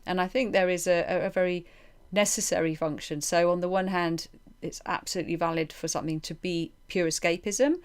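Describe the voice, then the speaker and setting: Smooth, low-voiced woman interviewer. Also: steady tone, a little fast, hardly any pauses. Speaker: interviewer, podcast host. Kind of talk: reflective interview conversation.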